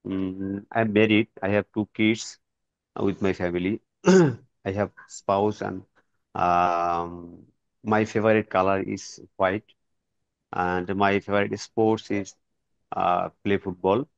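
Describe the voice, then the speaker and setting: Low gravelly guy, slow and halting, flat, muffled call sound. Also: no room sound. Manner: halting, many fillers. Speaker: student. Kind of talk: self-introduction in class. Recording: dull lo-fi call audio.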